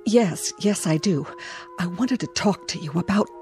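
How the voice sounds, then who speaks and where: An actress playing an anxious farm wife in a radio drama, speaking carefully and with forced, repetitive pleasantness. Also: female voice, medium pitch, animated, moderate pace, darkish tone, soft background music, lightly acted. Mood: worried, subdued.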